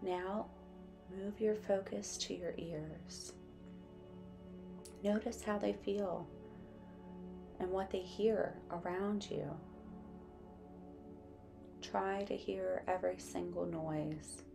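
A woman narrating a guided meditation in a gentle, soothing, unhurried manner. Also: fairly low pitch, steady tone, vocal fry, over music.

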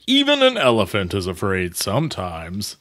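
A man voicing a reassuring tiger father from a storybook, gently joking and speaking with parental emphasis. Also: fairly low pitch, huge pitch swings, normal speed, dry recording, clean studio sound, heavily acted.

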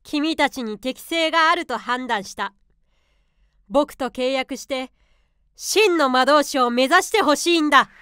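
A woman's voice: high-pitched, measured pace, bright, forceful tone. A woman voicing a small, confident unicorn guardian in an anime-style voice drama, boyish and theatrical. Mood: eager, theatrical. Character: small cute unicorn guardian beast, confident scheming mentor.

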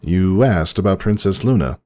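A man's voice: sits low, normal speed, a little mellow, pitched above the performer's own voice, lightly acted. A man voicing a nervous, confused, deferential stallion in a dramatic story reading, hesitant and anxious. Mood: hesitant, concerned.

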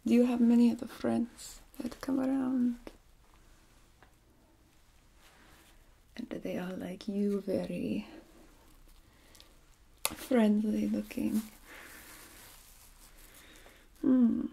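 A woman playing a hungry, politely menacing vampire in an ASMR roleplay, with a creaky, breathy voice and probing manner. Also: on the high side, takes it slowly, mellow, heavily acted, poor audio. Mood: hungry menace.

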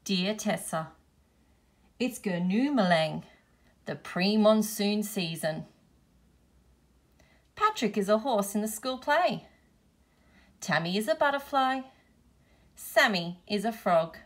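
A woman reads a children's picture book aloud. Her pace is slow, with careful, deliberate phrasing. The voice sits at an average female pitch. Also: expressive. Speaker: storybook reader, teacher.

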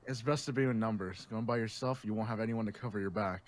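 A man's voice: on the low side, fast talker, slight background noise, close to an everyday voice. A man playing a level-headed peacemaker among survivors in an amateur game roleplay. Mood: easygoing. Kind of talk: amateur Minecraft roleplay voice acting.

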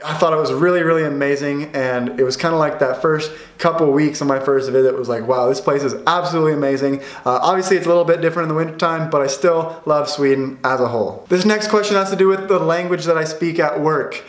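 Upbeat young American man, roomy echo. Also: a little high. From United States. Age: young adult. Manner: upbeat, chatty, gestures a lot with his hands. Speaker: vlogger. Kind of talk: casual vlog Q&A. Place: small room indoors at home. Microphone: camera in front, head and shoulders framing.

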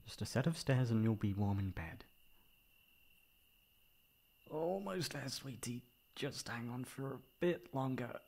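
A man's voice: medium pitch, animated, measured pace, gravelly, pauses often, darkish tone, a little hiss or hum, pitched above the performer's own voice. A man playing a sweet, teasing boyfriend, tender and playfully exasperated, in an intimate ASMR roleplay. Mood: fond teasing. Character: sweet teasing boyfriend caring for tipsy girlfriend.

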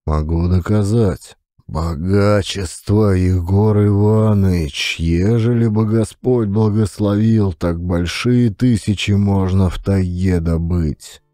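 Man voicing a deep, humble taiga wanderer. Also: slow pace, warm tone, dry recording, clean studio sound. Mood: earnest, secretive. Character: stocky male taiga wanderer, humble, earnest gold finder. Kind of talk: audiobook drama acting.

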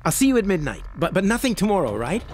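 An actor playing an eager, chatty voice actor in a radio drama, halting and stammering as he talks. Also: high voice, sing-song, heavy background noise. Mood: pleading, nervous. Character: eager, nervous, chatty young male voice actor. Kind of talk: naturalistic radio drama acting.

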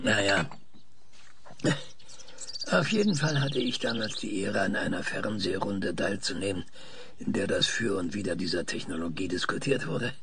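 Actor as halting, pedantic older male scientist, creaky. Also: low voice, animated, a bit bright, a little hiss or hum. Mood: pedantic, hesitant. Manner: hesitant stammering, pedantic, insistent. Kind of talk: German audio drama acting.

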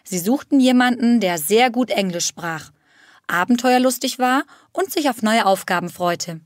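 A woman reading a first-person story aloud in steady, clear narration for language learners. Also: on the high side, moderate pace, dry recording, clean studio sound.

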